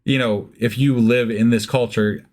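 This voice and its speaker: A man's voice: medium pitch, fairly even intonation, moderate pace, a little mellow. A man co-hosting a podcast in casual discussion, musing in a wry, irreverent way. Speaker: podcast co-host.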